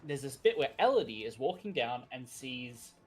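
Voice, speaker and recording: A man's voice: medium pitch, sing-song, moderate pace, slightly rough, low-quality recording. A man co-hosting a game stream, chatting casually, rambling and laughing often. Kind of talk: casual chat while gaming.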